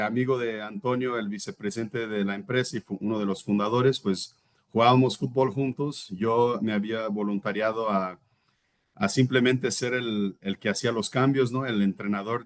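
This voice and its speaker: A man in his thirties, born in Brazil and a native Portuguese speaker, tells his own story as an interview guest. His voice sits fairly low, and he talks in a reflective, earnest way. He sometimes pauses to search for the right word. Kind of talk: personal testimony in conversation.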